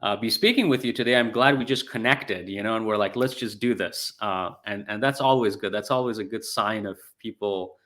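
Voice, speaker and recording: A man's voice: on the low side, a little fast, few pauses, a bit bright. A man hosting an interview over a video call, hesitant with many fillers between phrases. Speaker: podcast host.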